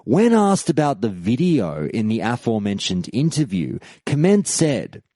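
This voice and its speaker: A man in his thirties narrating a scripted history of a game event as a podcast host, with measured storytelling. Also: dry recording, studio quality. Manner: measured storytelling with lively pitch swings.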